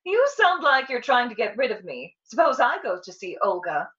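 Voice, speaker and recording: An actress playing a detective's sharp-witted, teasing wife in a 1940s-style radio drama. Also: female voice, fairly high pitch, sing-song, normal speed. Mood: teasing suspicion. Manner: arch, teasing. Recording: dull, distant old radio recording.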